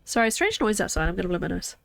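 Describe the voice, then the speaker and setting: A woman's voice: on the low side, big pitch swings, rapid-fire, vocal fry, background noise. A young woman streamer with a congested, stuffy nose, chatting casually and thinking aloud as she plays a game from home. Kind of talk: casual live gameplay chat.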